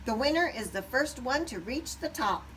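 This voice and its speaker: Woman as a high-voiced chicken race announcer. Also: expressive, normal speed, fairly bright tone, recorded from a distance, slight background noise, poor audio. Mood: announcing. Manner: calling out, announcing. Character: brisk male chicken announcing sports races.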